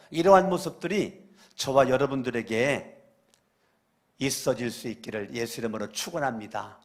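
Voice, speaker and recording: A male pastor delivering a sermon at a weekday church service, with a voice of ordinary middle pitch for a man. He drives his points home by repeating phrases and firing rhetorical questions at the congregation. He swings between loud exclamations and hushed asides.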